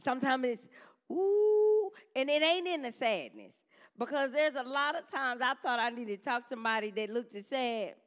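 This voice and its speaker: A woman preaching a sermon to her congregation in a conversational style, with quick call-and-response asides to the church. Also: high voice, expressive. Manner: says she has a strong voice.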